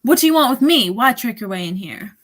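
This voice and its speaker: A woman's voice: on the high side, animated, fairly quick, fairly bright tone. A woman playing a haughty, possessive nightclub singer with imperious, melodramatic delivery in a radio drama. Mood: wary. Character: Aisha, haughty, possessive female singer of the Casbah. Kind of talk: 1940s radio drama acting.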